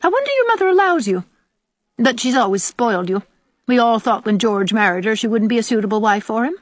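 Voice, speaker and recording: A sour old country aunt, put on by a woman reader, pitched high and swooping. She nags and complains with biting sarcasm, as a storybook character who disapproves of everyone. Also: animated, fairly quick, no room sound, close to an everyday voice. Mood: sniffing, scornful. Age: older. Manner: disagreeable, sarcastic, nagging. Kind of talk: audiobook character voice.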